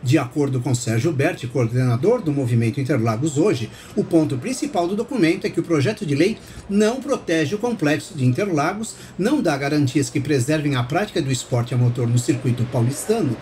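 A man's voice: on the high side, animated, fairly quick, hardly any pauses, a little mellow, slight background noise. A man with a Brazilian accent reading a scripted news narration.

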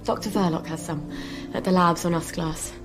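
A woman's voice: on the low side, steady tone, moderate pace, over music, close to an everyday voice. An actress playing a frank, wry, wistful woman chemist in a video game, speaking intimately and sometimes whispering. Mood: businesslike. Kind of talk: naturalistic video game acting.

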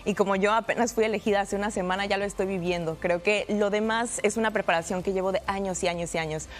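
A woman's voice: medium pitch, steady tone, fast. A young woman from the United States, a pageant winner, talking earnestly and upbeat in a television studio interview. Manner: earnest, upbeat, some restarts and fillers. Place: TV studio set.

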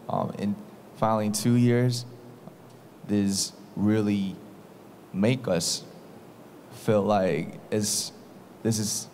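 A young man with a Korean accent speaks very slowly and earnestly into a handheld mic at a press conference, halting with ums. His voice sits a little low. A faint hiss runs underneath. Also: darkish tone. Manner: slow, earnest, halting with fillers.

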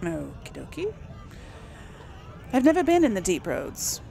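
A woman's voice: mid-range voice, huge pitch swings, unhurried, vocal fry, mellow, a little hiss or hum. A woman hosting a casual game playthrough, chatty and hesitant as she thinks aloud. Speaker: gaming video host. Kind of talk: casual let's play commentary.

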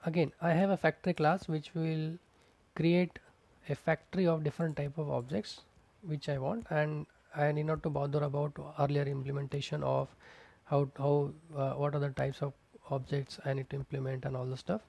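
Man, coding tutor, gravelly voice, slightly high, dry close mic, step-by-step explaining. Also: darkish tone, no room sound. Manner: explains step by step, repeats terms, many fillers. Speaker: programming instructor. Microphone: close mic, voice-over on screen recording.

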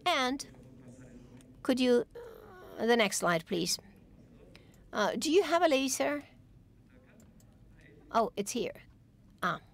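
Halting woman interpreting a tech talk. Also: fairly high pitch. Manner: halting, stop-start phrasing with pauses and restarts. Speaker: simultaneous conference interpreter. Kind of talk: live interpretation of a technical conference talk.

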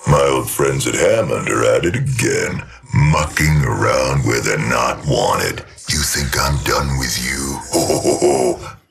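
Very deep gravelly robot assassin. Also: male voice, lively intonation, a bit bright, soft background music, heavily acted. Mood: gloating threat. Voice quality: gravelly, raspy. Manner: slow, sinister. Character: menacing murderous robot assassin, sardonic and vengeful.

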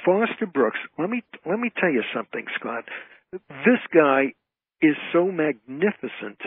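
A man's voice: on the high side, sing-song, a little slow, husky, no echo. A man chatting casually as a guest in an interview, with an emphatic, effusive way of talking. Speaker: guest, entertainer and author. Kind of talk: casual phone-in interview chat. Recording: narrow, tinny phone line.

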